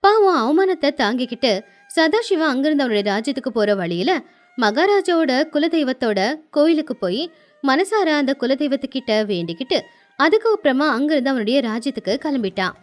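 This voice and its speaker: High, lively woman storyteller. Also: fairly quick, soft background music. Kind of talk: animated moral story narration.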